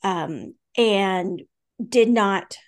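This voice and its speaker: A woman's voice: mid-range voice, drawn-out words. A woman co-hosting a faith podcast in casual conversation, earnest and storytelling, with fillers and restarts. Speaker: podcast co-host.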